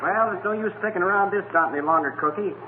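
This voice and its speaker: An actor playing a casual, wisecracking fellow in a 1940s radio comedy. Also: male voice, high voice, quick pace, lightly acted. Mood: offhand. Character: casual, wisecracking suitor, Willie. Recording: tinny, noisy 1940 radio recording.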